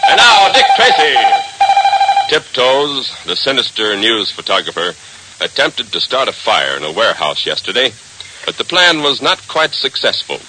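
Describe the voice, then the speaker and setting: A man's voice: mid-range voice, huge pitch swings, moderate pace. An American man announcing and narrating an old radio serial in a dramatic, declamatory style. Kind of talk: 1940s radio scripted narration. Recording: hissy old radio recording.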